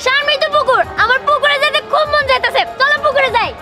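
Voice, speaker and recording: A playful, headstrong young granddaughter acted by a woman in a village drama. She talks fast in an extremely high voice, eager and bossy, with loud music behind her. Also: fairly even intonation. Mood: excited.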